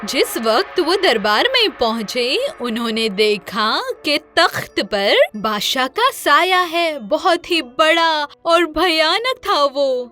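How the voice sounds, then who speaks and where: A woman's voice: sits high, expressive, few pauses, pushed and bright, faint music behind. A woman narrating a scripted children's story as storyteller.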